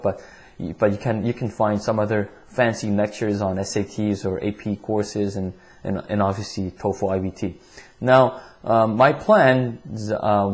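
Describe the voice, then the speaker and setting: A man's voice: on the low side, darkish tone. A man whose first language is Korean, giving an online test-prep lecture in English with many restarts and repeated words.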